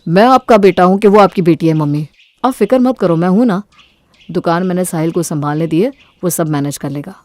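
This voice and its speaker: A woman's voice: on the low side, fairly quick, darkish tone, dry recording, lightly acted. A woman voicing a mild, accommodating young husband and devoted son in an audio story, with a reassuring manner. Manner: conciliatory, reassuring.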